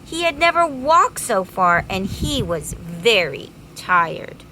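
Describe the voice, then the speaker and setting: A woman reads a picture book aloud for young children. Her voice is bright and highly animated, and she takes her time, stretching words for drama. There is some noise in the background. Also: takes it slowly, poor audio. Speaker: storyteller reading aloud. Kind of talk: expressive children's storybook narration.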